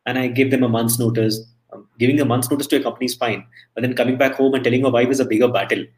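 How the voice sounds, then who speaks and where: A man from India speaks as an interview guest, rattling off stories at a fast clip. His talk is chatty and loose, full of restarts. He sounds middle-aged. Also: medium pitch, steady tone, a little mellow, poor audio. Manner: chatty, storytelling, many restarts. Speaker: interview guest, emcee and entertainer.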